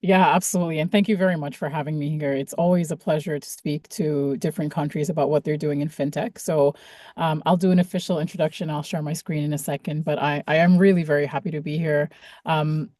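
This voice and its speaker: A woman with a Canadian accent speaks as a guest presenter, upbeat and chatty. Her voice is mellow and somewhat low. The sound is dry and close, coming through a video call. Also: fairly even intonation, fast, dry recording. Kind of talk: friendly presentation.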